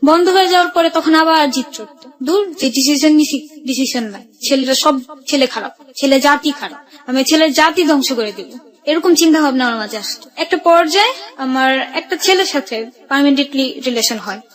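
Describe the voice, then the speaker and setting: A young Bangladeshi woman with a high voice tells her personal story as a radio guest. She talks quickly in colloquial, run-on sentences, one piece flowing into the next. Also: expressive. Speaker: guest telling own life story.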